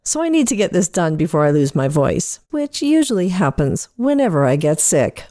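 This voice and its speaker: A woman's voice: lowish voice, big pitch swings, normal speed, warm tone, no room sound, studio quality. A woman presenting a podcast segment as scripted storytelling.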